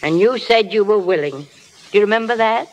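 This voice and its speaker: A woman's voice: medium pitch, lively intonation, a little fast, natural voice. An older actress playing a firm, plain-spoken, loving grandmother in a vintage radio drama. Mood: stern. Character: stern, loving old grandmother with blunt resolve. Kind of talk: 1930s radio drama acting. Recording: noisy vintage radio broadcast.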